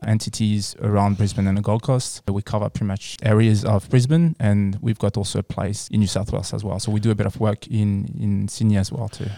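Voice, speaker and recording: A man from France with a French accent, answering casually as an interview guest in a halting, repetitive way. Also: lowish voice, little pitch movement, gravelly, dark tone, dry recording. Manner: halting, repeats words and phrases.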